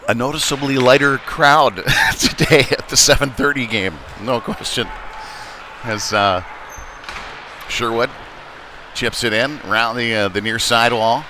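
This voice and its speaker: A man doing live play-by-play of a youth hockey game in a halting, folksy, conversational way. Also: mid-range voice, pushed and bright. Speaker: play-by-play commentator. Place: indoor ice rink during a game. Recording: noisy arena, clear rink ambience behind.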